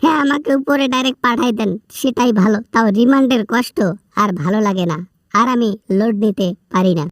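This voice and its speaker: A woman's voice: a little high, a little fast, dry recording, clean studio sound, close to an everyday voice. A woman with a Bangladeshi accent playing a whining male prisoner in a comic phone skit, pleading and repeating words in agitation. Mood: despairing. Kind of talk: comic prank-style phone call skit.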